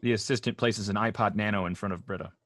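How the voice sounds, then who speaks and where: A man's voice: lowish voice, fairly even intonation, fast talker. A man reading stage directions as scripted narration at a comedy table read.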